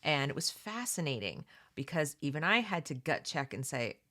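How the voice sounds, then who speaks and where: A woman's voice: sits low, big pitch swings, moderate pace, a bit bright. A woman hosting a read-along, mixing reading aloud with casual, opinionated commentary in an emphatic, conversational way that addresses listeners directly.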